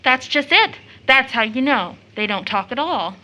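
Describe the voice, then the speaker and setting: Eager chatty teen schoolgirl in an audio drama, highish and really bright, tender-hearted. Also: expressive, normal speed. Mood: tender, troubled. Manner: chatty, girlish, earnest. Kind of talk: stage-play audio drama acting.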